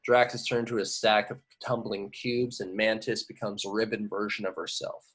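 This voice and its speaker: A man with a fairly low voice narrates stage directions. It is a scripted read, delivered as part of an amateur table read. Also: fairly even intonation, normal speed, fairly bright tone, husky.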